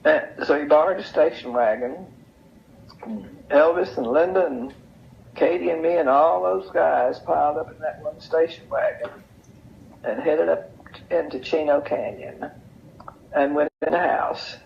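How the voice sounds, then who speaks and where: An older woman tells anecdotes in an unhurried, folksy way, repeating phrases for emphasis as an interview guest. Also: sits low, vocal fry, slight background noise. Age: older, over 70. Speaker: interview guest sharing memories. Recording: dull, lo-fi sound.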